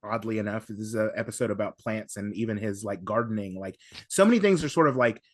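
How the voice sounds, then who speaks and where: A man's voice: on the low side, fast talker, no room sound, clean studio sound. A man speaking as a podcast panelist in casual analytical discussion, emphatic, often interrupting and repeating himself.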